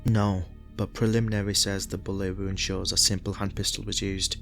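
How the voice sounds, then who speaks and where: Steady copper, low voice, procedural and matter of fact, audio drama with music. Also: male voice, fairly quick, creaky. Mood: businesslike. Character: businesslike, steady male police officer.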